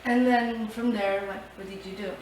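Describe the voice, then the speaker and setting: Interviewer, short questions, soft murmurs, echoey room, distant mic. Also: medium pitch, steady tone, normal speed, slight background noise. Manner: brief questions and frequent backchannel murmurs. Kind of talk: oral history interview.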